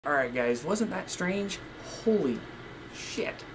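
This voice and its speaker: A man's voice: high-pitched, animated, slow. Midwest guy doing a casual radio hobby vlog, plain talker, noisy room with some static. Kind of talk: casual vlog talk to viewers.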